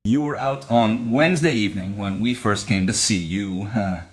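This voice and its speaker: An actor playing a suspicious, blunt police detective who probes and accuses in an audio crime drama. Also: male voice, on the low side, moderate pace, husky. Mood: stern. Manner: probing, accusatory.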